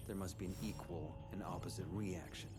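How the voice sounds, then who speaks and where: An actor playing a grim, menacing police officer, delivering a solemn, deliberate, ominous monologue in a video game cutscene. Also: male voice, sits low, fairly even intonation, loud music behind the voice, natural voice. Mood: grim resolve. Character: grim, menacing hardline male police officer narrating his creed. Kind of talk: dramatic scripted cutscene monologue.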